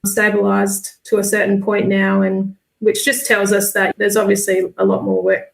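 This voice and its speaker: Casting: Australian woman, mid-pitched, casually explaining as an interview guest, recorded in a reverberant room. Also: monotone, moderate pace, gravelly. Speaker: volunteer bird count coordinator. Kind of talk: casual interview answers on a livestream. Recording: roomy, echoey sound.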